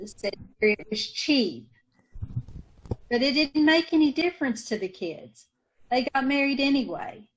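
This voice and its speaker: A bitter, worn-down woman with a folksy drawl of broken grammar spits out her grudges, as an actress plays her in a radio play. Her voice sits a little high for a woman. She stays defiant and resentful throughout. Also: expressive, a little slow, low-quality recording. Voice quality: folksy ungrammatical speech, resentful. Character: bitter poor woman, protective sister. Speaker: radio actor. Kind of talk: old-time radio drama acting.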